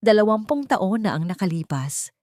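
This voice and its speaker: A woman's voice: mid-range voice, sing-song, moderate pace, a little mellow, dry recording, studio quality, close to an everyday voice. A woman with a Filipino accent voicing a proud, strained, defensive man on trial in an audio storybook. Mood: angry, desperate. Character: desperate, proud billionaire father on trial.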